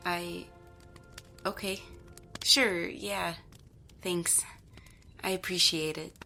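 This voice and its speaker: Woman playing a bright-voiced, skeptical, bewildered mother. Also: fairly low pitch, fairly even intonation, extremely slow, creaky, faint music behind, exaggerated voice. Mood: hesitant, subdued. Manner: hesitant, incredulous. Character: skeptical, bewildered mother who makes dolls. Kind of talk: audio drama dialogue.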